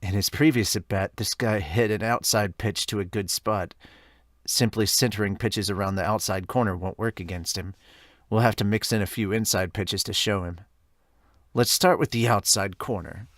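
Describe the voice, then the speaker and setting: A man voicing earnest high school baseball players, reading manga dub dialogue in a plain manner. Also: fairly even intonation, moderate pace, no echo, studio quality, close to an everyday voice. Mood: strategic, calm.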